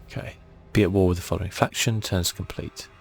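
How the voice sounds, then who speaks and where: A man speaking softly and casually, thinking aloud as he gives ASMR commentary on a video game. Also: low voice, fairly even intonation, brisk, gravelly, husky. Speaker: gaming channel host.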